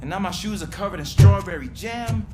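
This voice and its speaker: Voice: mid-range voice, brisk, music in the background, natural voice. An actor playing an eager, chatty young rookie police officer in a video game, talking in a casual, conversational way. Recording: noisy game ambience behind.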